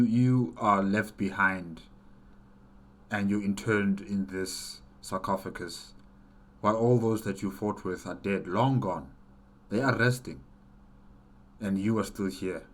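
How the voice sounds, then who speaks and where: A man hosting a reaction video, commenting casually and emphatically, repeating phrases for stress. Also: fairly low pitch.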